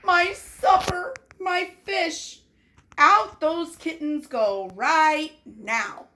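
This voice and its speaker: Woman reading as exasperated storybook dad, slow and emphatic. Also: extremely high pitch, pitched above the performer's own voice, heavily acted. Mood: dismayed. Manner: emphatic, exclaiming, drawn-out complaints. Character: exasperated, blustering father of a storybook family. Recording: noticeable background noise.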